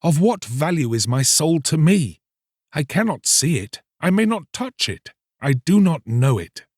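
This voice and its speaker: A man's voice: on the high side, sing-song, unhurried, darkish tone, dry recording, studio quality, pitched above the performer's own voice. A man voicing a lovestruck young fisherman in a storybook, pleading earnestly in impassioned, archaic speech. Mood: urgent pleading.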